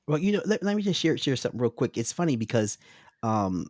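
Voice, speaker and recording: A man hosting a podcast interview in a relaxed, chatty way, with many fillers and restarts. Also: mid-range voice, fast talker, no room sound, studio quality. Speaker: podcast host. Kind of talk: casual interview chat.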